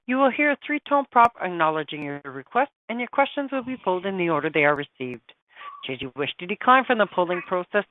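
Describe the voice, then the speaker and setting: A woman's voice: mid-range voice, dry recording. A woman working as a conference call operator, reading scripted announcements. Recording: dry, narrow telephone audio.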